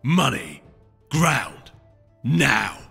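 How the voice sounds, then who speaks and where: A man's voice: medium pitch, big pitch swings, very slow, vocal fry, pushed and bright, breathy edge, exaggerated voice. A man voicing a burly, menacing pony mugger in a storybook reading, blunt and taunting. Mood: threatening. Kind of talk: audiobook character voice. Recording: echoey hall reverb, music behind.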